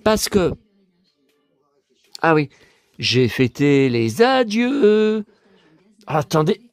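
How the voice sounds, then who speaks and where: Hoarse middle-aged man rambling on. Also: on the high side, sing-song, drawn-out words, no echo, faint music behind. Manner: rambling, repeats words, stammers, emotional asides. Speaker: YouTuber. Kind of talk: casual rambling vlog chat. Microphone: phone.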